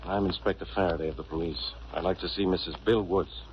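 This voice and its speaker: An actor playing a gruff, sarcastic, suspicious police inspector in 1940s radio drama style. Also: male voice, low-pitched, quick pace, close to an everyday voice. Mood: businesslike. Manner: blustering, sarcastic. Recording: muffled old radio recording, steady hiss.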